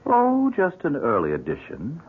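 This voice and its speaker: A man playing a sly devil posing as a courteous businessman in a radio mystery drama, with a formal, pompous, unhurried delivery. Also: a little high, wildly swooping pitch, breathy edge, heavily acted. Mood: suave, knowing. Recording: tinny, band-limited old radio, faint noise.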